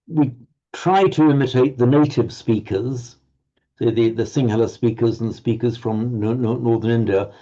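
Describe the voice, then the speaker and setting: A man teaching Sanskrit pronunciation in an online group lesson, halting and restarting words, encouraging his students. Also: medium pitch, warm tone, muffled recording.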